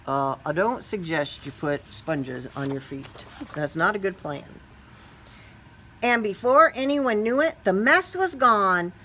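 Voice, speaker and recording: A woman's voice: medium pitch, expressive, takes it slowly, pushed and bright, a little hiss or hum. A woman reading a children's picture book aloud expressively, stretching key words and putting questions to young listeners. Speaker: storybook reader.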